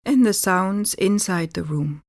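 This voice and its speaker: Slow-paced woman guiding deep relaxation. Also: no echo, studio quality. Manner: calm, deliberate, gently instructing with pauses. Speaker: yoga nidra meditation teacher. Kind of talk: guided relaxation meditation instruction.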